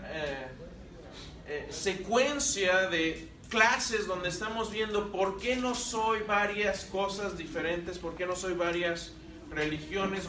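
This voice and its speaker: A man's voice: high voice, bright, forceful tone, roomy sound, far from the mic, slight background noise. A man from Mexico teaching a Bible class, talking to the room and putting questions to his students. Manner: conversational, asks the class questions. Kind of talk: church class lecture.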